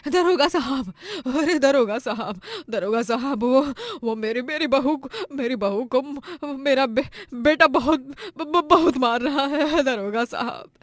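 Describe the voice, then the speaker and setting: A woman's voice: medium pitch, measured pace, dry recording, natural voice. An actress playing an elderly, protective and long-suffering mother-in-law in a melodramatic story cartoon, with an emotional delivery. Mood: panicked, pleading. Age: older. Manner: emotional, pleading.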